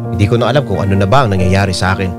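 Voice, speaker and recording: A man's voice: low-pitched, racing, loud music behind the voice, lightly acted. A male actor playing a troubled, defensive unfaithful young husband in a radio drama, both narrating and in dialogue. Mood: anguished, confused. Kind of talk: Filipino radio drama acting and first-person narration.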